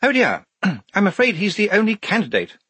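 A practical woman army major from a storybook, put on by a man reading aloud, in a raised, slightly husky pitch. She sounds measured, officious and matter-of-fact. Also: huge pitch swings, sharp tone, dry recording, exaggerated voice. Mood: brisk, official.

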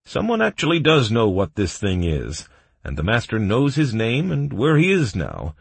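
A man's voice: deep voice, sing-song, normal speed, gravelly, slightly rough, dry recording, heavily acted. A man voicing a cheerful, obliging guard in a fantasy audiobook. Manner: happy, obliging. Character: cheerful, chatty male guard delivering a taunting message.

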